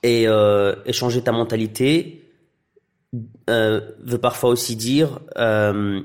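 A man's voice: mid-range voice, steady tone, takes it slowly. Hesitant man chatting in an interview. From Iraqi heritage, raised in Tunisia and Belgium. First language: Arabic. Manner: hesitant, many fillers and restarts. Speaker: interview guest, actor and director. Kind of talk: casual interview chat.

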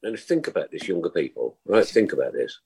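A man's voice: low-pitched, sing-song, quick pace, a little mellow, slightly rough, low-quality recording. A man in his sixties on a video call, chatting casually about football, teasing the others and asking rhetorical questions. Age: 60s. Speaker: podcast panelist. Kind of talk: casual football fan chat.

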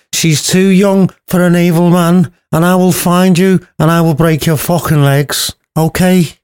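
A man's voice: high-pitched, darkish tone, smooth, voice pushed up. A man voicing a bossy, crude old woman nurse in a storybook retelling, snapping and threatening.

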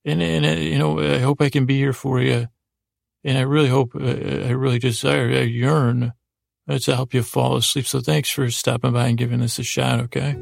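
A man with a gravelly voice hosting a sleep podcast, giving a soothing, rambling bedtime monologue that halts, repeats and wanders off mid-thought. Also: medium pitch, little pitch movement, dry recording, studio quality. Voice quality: gravelly, creaky. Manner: halting, meandering, repeats and trails off mid-thought.

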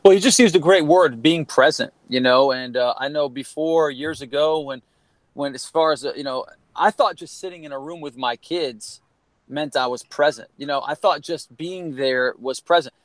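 A man's voice: fairly high pitch, normal speed, dry recording. A man around forty from Connecticut, telling a personal story in an earnest, rambling way, full of restarts and "you know.". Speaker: guest, former college baseball player. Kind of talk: candid storytelling in a podcast conversation.

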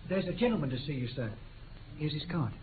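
A man's voice: on the high side, very animated, fast. A man playing a polite, dutiful young page servant in a 1950s radio drama, deferential in manner. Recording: tinny, very noisy, echoey radio broadcast.

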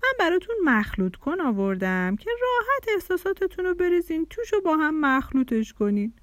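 Woman as a storybook butterfly dad, playful and kindly, with very animated intonation. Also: sits high, no echo, voice pushed up. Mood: playful explaining. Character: kind, playful father butterfly, acting as street hawker.

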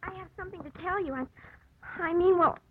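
A thin, crackly old radio recording carries a nervous schoolgirl. Her extremely high voice is hesitant and eager to help. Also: fast talker. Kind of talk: 1950s radio drama acting. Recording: tinny, noisy vintage radio recording.

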